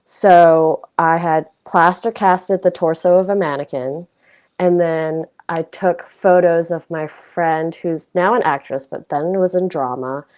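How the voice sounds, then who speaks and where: Reflective young woman, lowish voice. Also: steady tone, measured pace, a little mellow. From Hong Kong. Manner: reflective, self-deprecating, loose with fillers. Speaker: guest artist. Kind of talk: casual conversational interview.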